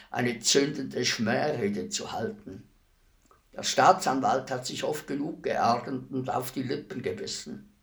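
An actor playing a world-weary, philosophical executioner in a radio play, speaking in a deliberate, brooding, respectful way. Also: male voice, mid-range voice, gravelly, fairly bright tone, exaggerated voice. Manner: deliberate, brooding, formally addressing a lady. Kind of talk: radio play acting, slow reflective monologue.

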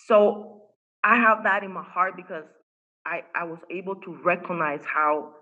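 Woman guest, Spanish as first language, earnest coach, husky tone, muffled call audio. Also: on the low side, normal speed, sharp tone. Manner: earnest, emphatic, many fillers and restarts. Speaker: guest, faith-based women's coach. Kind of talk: podcast interview. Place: home in Costa Rica.

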